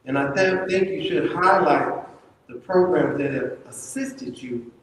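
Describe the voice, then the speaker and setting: Higher-voiced man moderating in a cavernous hall. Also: a little slow. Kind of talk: public panel questioning. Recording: cavernous echoey hall, distant mic, faint noise.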